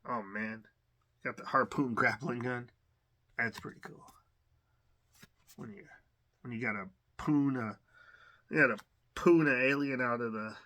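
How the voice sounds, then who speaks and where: A man hosting an unboxing video, talking casually with lots of fillers and restarts and a slight cough. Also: mid-range voice. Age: adult. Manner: relaxed, rambling, many fillers and restarts. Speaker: unboxing channel host.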